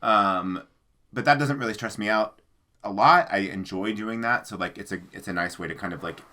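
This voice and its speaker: A man in his thirties with a low voice and a bright, forward tone. He talks in a loose, rambling way, full of fillers and "like". He sounds like a co-host in a casual book-channel chat. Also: moderate pace. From American Midwest. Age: 30s.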